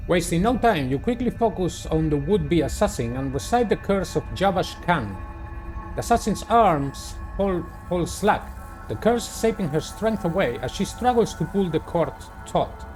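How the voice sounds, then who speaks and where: Higher-voiced theatrical man over music. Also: animated, moderate pace, a little mellow, poor audio. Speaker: host of a gaming channel, narrator. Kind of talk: playthrough commentary and read-aloud visual novel narration.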